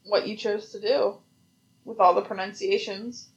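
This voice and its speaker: A woman's voice: medium pitch, steady tone, measured pace, muffled recording. A woman co-hosting a podcast, joking around with teasing, wry asides. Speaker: podcast co-host. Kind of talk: casual banter.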